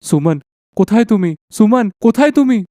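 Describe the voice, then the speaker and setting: Worried village husband, acted by a man, mellow voice. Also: high-pitched, lively intonation, normal speed, no echo, clean studio sound. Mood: alarmed. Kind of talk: dramatised animated folk horror story.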